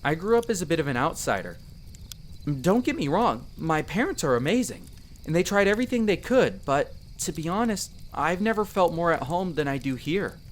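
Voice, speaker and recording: A man's voice: a little high, very animated, normal speed. Man playing a modest young male elf, noisy ambience. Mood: earnest, reassuring. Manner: conversational, self-deprecating, earnest. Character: friendly young male elf explorer, modest half-outsider mage. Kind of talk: roleplay audio drama, speaking to the listener.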